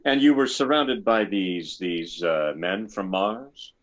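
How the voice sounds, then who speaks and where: An actor playing a hesitant, probing army psychiatrist in an old-time radio science fiction drama, stammering and deliberate. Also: male voice, lowish voice, muffled recording. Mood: skeptical, probing.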